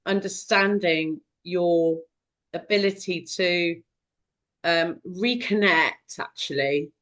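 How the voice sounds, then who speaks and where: Lady podcast host, slow and halting, lowish voice, feels for words. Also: a bit bright. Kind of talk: interview hosting.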